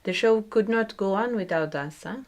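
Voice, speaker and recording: An actress playing an eager, agreeable stagehand in a radio drama, speaking hesitantly and gently. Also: female voice, medium pitch, moderate pace, natural voice. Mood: agreeable, tentative. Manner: hesitant, echoing the other's words. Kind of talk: naturalistic radio drama dialogue.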